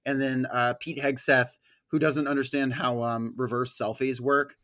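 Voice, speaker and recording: A man's voice: mid-range voice, level tone, normal speed, fairly bright tone. Sardonic man, casual political commentary. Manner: sardonic, profane. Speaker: podcast co-host.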